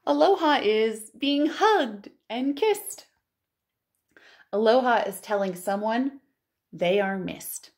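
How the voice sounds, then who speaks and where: A woman with a high voice hosts storytime for little kids. She takes her time over the words and swings widely up and down in pitch, with expressive delivery as she reads aloud and chats. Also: slow, pauses often. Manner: animated, expressive, exclamatory. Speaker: children's librarian and storytime host. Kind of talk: storytime for young children, read-aloud and chat. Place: living room at home.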